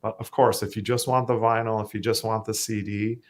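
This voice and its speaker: A Canadian man with a self-described monotone voice, talking casually as a musician guest, rambling with fillers and restarts. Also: lowish voice, fairly quick. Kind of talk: casual interview chat.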